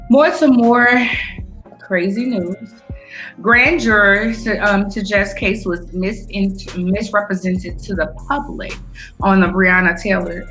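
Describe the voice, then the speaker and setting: A woman's voice: fairly low pitch, fairly bright tone, low-quality recording. A blunt, opinionated American woman co-hosting a podcast and casually talking through the news. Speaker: podcast co-host. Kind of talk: casual news discussion between friends.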